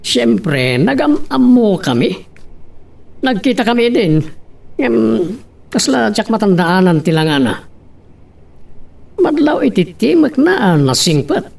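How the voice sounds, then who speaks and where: A man's voice: high-pitched, sing-song, takes it slowly, creaky, pauses often, darkish tone, distant mic, full character voice. A man playing a courteous, self-assured rich young businessman in an audio drama. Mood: relaxed, musing.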